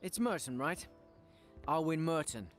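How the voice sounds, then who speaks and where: An actor playing an eager, curious young adventurer in a fantasy video game, casual and inquisitive. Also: male voice, fairly high pitch, expressive, a little slow, soft background music. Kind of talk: video game cutscene acting.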